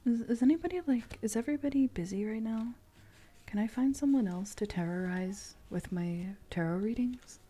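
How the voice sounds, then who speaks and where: A woman streamer speaking softly in a playful ASMR livestream style, often dropping into a whisper. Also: on the high side, animated, normal speed. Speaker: streamer reading tarot.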